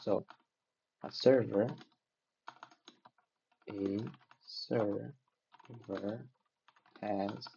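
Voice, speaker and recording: A man's voice: on the low side, steady tone, very slow, gravelly, long pauses, dark tone, dull audio, a little hiss or hum. A man for whom English is not a first language, taking notes aloud in a casual study vlog, hesitant and restarting as he searches for words. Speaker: learner sharing notes.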